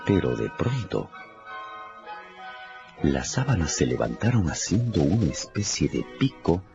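A man's voice: very deep voice, sing-song, a little slow, gravelly, highs cut off, music in the background. A man delivering dramatic scripted narration for a radio story.

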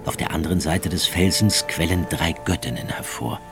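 Casting: male narrator, low voice, measured storytelling for radio drama, over prominent music. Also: gravelly.

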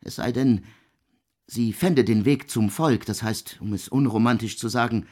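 An actor playing a proud, intellectual German composer in a radio drama, speaking in earnest, eloquent, deliberate phrases. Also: male voice, medium pitch, a little mellow, lightly acted. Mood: urgent, reflective. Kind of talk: literary radio drama dialogue.

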